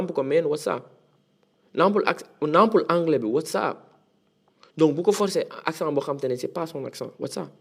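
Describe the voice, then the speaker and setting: A man, hosting a casual solo podcast monologue, hopping between French and English with frequent restarts. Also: on the high side. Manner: switches between French and English mid-sentence; frequent restarts and repeated words. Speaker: podcast host.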